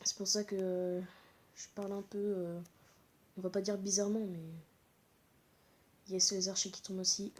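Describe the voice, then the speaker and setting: A woman's voice: medium pitch, little pitch movement, normal speed, long pauses, slight background noise. A woman with a stuffy, congested voice from a cold, giving live gameplay commentary in short, repeated exclamations. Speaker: gaming channel host.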